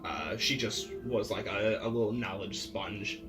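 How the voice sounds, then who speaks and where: A man's voice: lowish voice, a little slow, recorded from a distance, poor audio. A man playing in a tabletop roleplaying game, telling his character's story in a jokey, informal way. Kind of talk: casual chat, improvised character storytelling. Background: ambient music.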